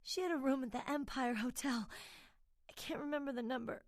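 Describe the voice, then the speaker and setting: An actress playing a feverish, weak call girl in a video game, coughing and speaking haltingly, with a sweet, wistful temper. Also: sits high, no room sound, clean studio sound. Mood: weak, confused. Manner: halting, faltering, trailing off. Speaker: game character.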